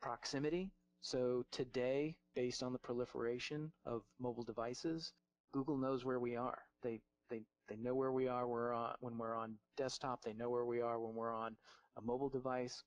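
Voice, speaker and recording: A man's voice: mid-range voice, flat delivery, normal speed, no echo. A man giving a marketing webinar over slides, talking off the cuff with many fillers and restarts. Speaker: marketing presenter.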